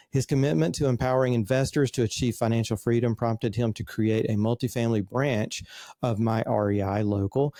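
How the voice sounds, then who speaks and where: A man's voice: mid-range voice, dark tone, no echo, studio quality. A man in his fifties hosting an interview, reading a guest introduction and then chatting loosely with fillers. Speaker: podcast host. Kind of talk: interview host.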